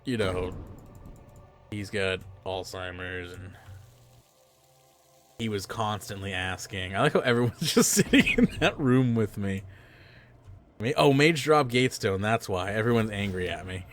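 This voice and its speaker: A man hosting a podcast, chatting casually while gaming, rambling and self-mocking, with a hoarse, stuffy voice from losing it. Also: lowish voice, expressive, measured pace, pauses often, fairly bright tone. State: losing his voice, stuffy sinuses. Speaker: podcast host.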